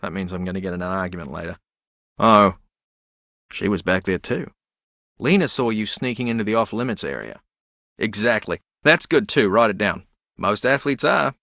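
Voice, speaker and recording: An actor playing an earnest, spacey male rugby champion in a video game, with a deadpan, halting, rambling delivery. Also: on the low side, gravelly, no room sound, clean studio sound, heavily acted. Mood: guileless, matter-of-fact. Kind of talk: video game character dialogue.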